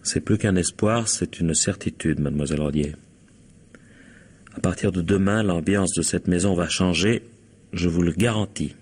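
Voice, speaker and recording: Deep-voiced, suave husband. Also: male voice, a little mellow, breathy edge. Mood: confiding, resolute. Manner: formal, measured, suave. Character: courteous, quietly scheming bourgeois husband and employer. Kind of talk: 1950s French radio drama acting.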